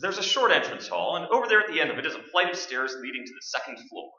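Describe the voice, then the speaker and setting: Roomy distant stage recording, a man playing a wisecracking skeptical radio reporter, sharp and forceful, excitable, radio drama. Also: on the high side, fast talker, dull audio, exaggerated voice. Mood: narrating. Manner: wisecracking, excitable, narrating aloud. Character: brash skeptical male radio host on a ghost hunt. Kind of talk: staged radio play acting.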